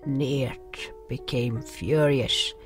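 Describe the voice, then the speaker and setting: A man playing a gentle nonhuman swamp creature who narrates a fantasy audio drama haltingly, in broken grammar with dropped articles. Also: mid-range voice, fairly even intonation. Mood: solemn storytelling. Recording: music behind the voice.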